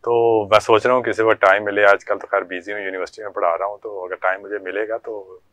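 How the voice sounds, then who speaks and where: A man's voice: fairly low pitch, flat delivery, quick pace, a bit bright, no room sound. An older man with a Pakistani accent telling stories from his working life as an interview guest, in a conversational way with reported dialogue. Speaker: guest, former air traffic controller.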